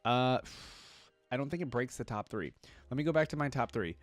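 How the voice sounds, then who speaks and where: A man's voice: mid-range voice, expressive, a little fast, dry recording. A man giving casual, slangy reaction commentary as a video host, thinking aloud as he goes.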